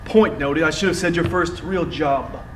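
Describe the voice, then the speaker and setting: A man's voice: on the high side, moderate pace, fairly bright tone, natural voice. An actor playing a cocky, ambitious young advertising man in a stage play, smug and self-assured. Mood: smug, brisk. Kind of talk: live stage play acting. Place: theatre stage, recorded from far off. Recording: distant roomy theatre recording, faint noise.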